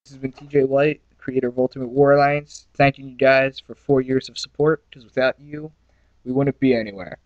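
Earnest young man, halting. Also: medium pitch, steady tone, highs cut off. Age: young adult. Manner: earnest, hesitant with fillers. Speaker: series creator. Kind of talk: casual interview. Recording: music bed underneath.

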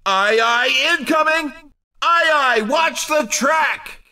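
A man playing a cheeky, excitable race commentator in a video game, calling the action in punchy, playful exclamations. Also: very high voice, slow pace, far from the mic, heavily acted. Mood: urgent warning. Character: hyped-up cheeky male racing game announcer. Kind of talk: excited arcade racing commentary.

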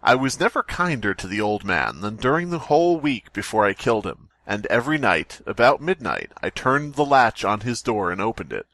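A man's voice: lowish voice, big pitch swings, a little fast, few pauses, a bit bright, no room sound. A man hosting a podcast, reading a story aloud theatrically and breaking off into jokey, sweary banter. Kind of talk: dramatic story reading mixed with joking banter.

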